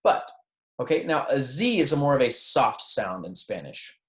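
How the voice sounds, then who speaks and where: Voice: medium pitch, big pitch swings, moderate pace, fairly bright tone. A teacher giving an introductory pronunciation lesson in an instructional way, often checking in with the class and modelling words to repeat. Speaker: Spanish teacher. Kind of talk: introductory language lecture on pronunciation, with repeat-after-me examples. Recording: roomy, echoey sound.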